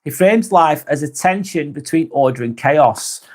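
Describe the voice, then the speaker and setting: Chatty English man, slightly high voice. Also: expressive, a little slow, a little mellow. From England. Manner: chatty, self-correcting, laughs easily. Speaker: live vlog host reading chat. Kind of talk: casual livestream chat.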